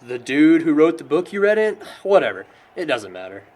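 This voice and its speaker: A man plays a sarcastic young American guy in a naturalistic indie drama. His voice is fairly high and swings a lot in pitch, with a wry, bookish edge among friends. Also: very animated, moderate pace, a little mellow. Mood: exasperated. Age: young adult. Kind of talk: naturalistic amateur film dialogue.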